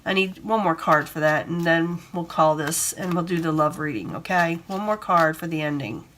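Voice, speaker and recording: A woman reading tarot cards in a casual, rambling way, hoarse from a cold and breathing hard. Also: sits low, moderate pace, a bit bright, a little hiss or hum. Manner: rambling, repeats herself, gives advice. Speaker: tarot reader.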